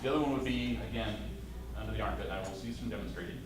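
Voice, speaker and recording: A man's voice: lowish voice, crowd noise. Hesitant man, echoey panel room. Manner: halting, many restarts and fillers. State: slightly hoarse. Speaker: convention panel presenter. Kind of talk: live costume-making demonstration. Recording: echoey room, mic far off.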